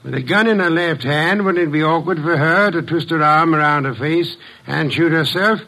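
An actor in a 1950s radio drama playing a shrewd, weary, imperious middle-aged detective who speaks deliberately and pompously. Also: male voice, on the high side, vocal fry, pushed and bright, poor audio. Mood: accusing, composed. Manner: deliberate, pompous, theatrical speech.

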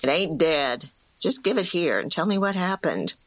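An actress playing a stern, practical old rural great-aunt in an audio drama, with a folksy, no-nonsense way of talking. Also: female voice, on the low side, big pitch swings, moderate pace, low-quality recording. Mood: firm. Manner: firm, no-nonsense.

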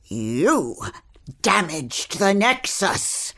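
A woman's voice: on the low side, very animated, very slow, bright, forceful tone, heavily acted. A woman playing an eccentric older witch, a grandmother in a magical realm, with a theatrical, muttering, exasperated manner in a fantasy audio drama. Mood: grave, explaining. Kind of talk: audio fiction fantasy acting.

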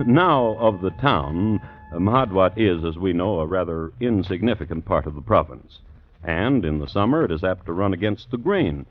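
A male host narrates a vintage radio story in a lowish voice that moves widely in pitch. He is drily mock-formal, with deliberate pauses, on a muffled old recording with faint music. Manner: dry, deadpan wit, mock-formal with deliberate hesitations.

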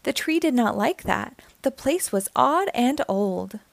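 A woman hosting storytime, reading a children's picture book aloud in an expressive, deliberate storytelling manner. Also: a little high, gravelly, no echo. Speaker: storytime host and reader.

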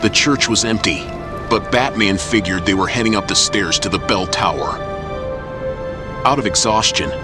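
A man's voice: fairly low pitch, normal speed, over music. A man narrating a scripted action story as an audio drama. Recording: loud dramatic score and battle effects behind.

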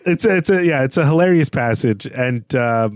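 A man co-hosting a literary podcast, talking through a novel in a measured, analytical way. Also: mid-range voice, expressive, no echo, clean studio sound. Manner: measured, analytical, slowing for read-aloud passages. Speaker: podcast co-host. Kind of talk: casual literary discussion.

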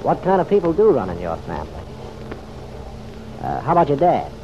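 Through a muffled, noisy old radio recording comes a wry American police detective. His pitch moves freely as he needles and probes in easygoing, slangy radio drama style. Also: huge pitch swings, brisk. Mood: probing.

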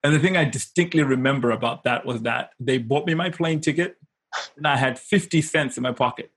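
A man speaks as an interview guest at a middling pitch. He tells personal stories informally and emphatically, often checking that the listener is following. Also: fairly quick, a bit bright, husky. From Zimbabwe. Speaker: interview guest, consultant and coach. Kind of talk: animated personal storytelling in casual conversation.

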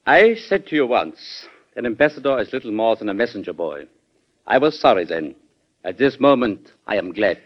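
An actor playing a polite, cautious German ambassador in an old radio drama, speaking formally and deferentially with a German accent. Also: male voice, fairly low pitch, very animated, moderate pace. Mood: courteous. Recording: dull, band-limited vintage radio recording.

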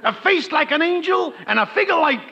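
An actor playing a wary, wisecracking working-class buddy in a 1940s radio comedy, talking slang. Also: male voice, very high voice, animated, fairly quick. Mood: relishing the tale. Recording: noisy, echoing, dull old radio recording.

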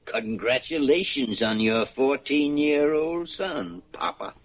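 A crusty old senior doctor in a vintage radio drama, blunt and wry. His medium-pitched voice commands the room, captured on a dull, dry recording. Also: lively intonation, slow, no echo. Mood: wry, probing. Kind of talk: 1940s radio acting.

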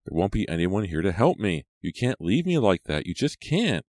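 A man's voice: sits low, big pitch swings, moderate pace, no echo, voice pushed up. A man voicing a scared teenage girl in an urgent, emotional, pleading way for an audiobook story. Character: frightened, trembling teenage girl at a campsite.

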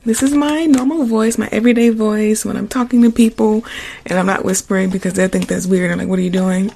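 A young woman with a naturally quiet, soft voice chatting casually with viewers on an ASMR livestream, close to a taped microphone. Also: mid-range voice, steady tone, a little fast, gravelly. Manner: chatty, answers viewer comments, trails into whispers. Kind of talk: casual live-stream chat with viewers. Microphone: close mic with tape on it. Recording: faint rustling noise from taped mic.

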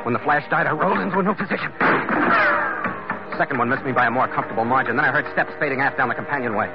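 An actor playing a tough, wisecracking ship captain who narrates and commands in clipped hardboiled style, in a 1940s radio adventure. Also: male voice, medium pitch, very fast, over loud music, close to an everyday voice. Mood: tense narration. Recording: muffled, noisy old radio recording.

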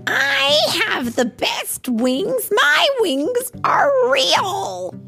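A woman's voice: very high-pitched, sing-song, drawn-out words, vocal fry, bright, forceful tone, faint music behind, voice pushed up, full character voice. A woman voicing a proud, sore-loser goose in a storybook, with a whiny, stretched-out wail.

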